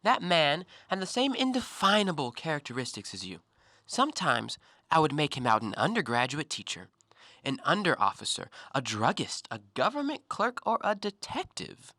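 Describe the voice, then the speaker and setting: An actor in an audio play, playing a talkative, self-assured intellectual who probes and needles with articulate, deliberate theatrical phrasing. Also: male voice, on the high side, wildly swooping pitch, pushed and bright, no room sound, clean studio sound, heavily acted. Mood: reflective storytelling. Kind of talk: stage-style audio play monologue.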